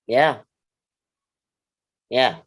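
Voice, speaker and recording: Actor doing a world weary school mentor type in a play reading, mid pitched, dry n frank, deliberate. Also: lively intonation, slightly rough, heavily acted. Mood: wry confession. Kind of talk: staged play reading, dialogue and monologue.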